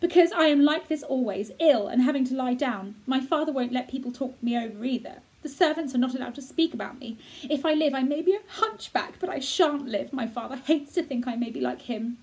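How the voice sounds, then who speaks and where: A woman voicing a sickly, bitter bedridden young boy who speaks slowly and plaintively. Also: high-pitched, expressive, darkish tone, pitched above the performer's own voice.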